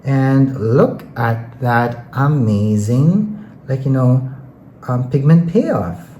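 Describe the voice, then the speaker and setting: Chatty makeup vlogger, androgynous mid voice, soft mellow tone, roomy echo, bit of background noise, says like you know a lot. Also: medium pitch, lively intonation, takes it slowly. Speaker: beauty vlogger. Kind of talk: casual chatty makeup product review.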